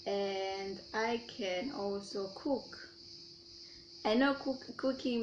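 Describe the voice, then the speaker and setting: A woman's voice: mid-range voice, noisy background. A young Zambian woman with a Zambian accent, chatting casually as she answers her own questions in a vlog. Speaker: YouTuber.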